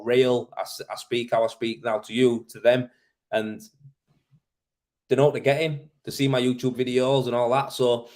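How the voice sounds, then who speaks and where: A man from Leeds with a Yorkshire accent, talking informally and colloquially as he hosts a casual livestream and answers questions. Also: medium pitch. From Leeds, Yorkshire, England. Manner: informal, matey, colloquial, thinking aloud. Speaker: live show host, tradesman in plastering.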